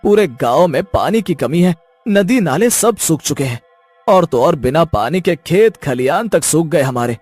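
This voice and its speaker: Man playing a husky, distressed village farmer. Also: a little high, lively intonation, unhurried, darkish tone, no room sound, faint music behind. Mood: despairing. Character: distressed drought-stricken village farmer, praying. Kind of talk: animated story character voice.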